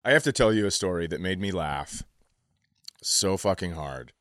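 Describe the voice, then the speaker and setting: A man's voice: sits low, animated, normal speed, over music. A man co-hosting a comedy podcast, riffing in casual, profane, crude chat.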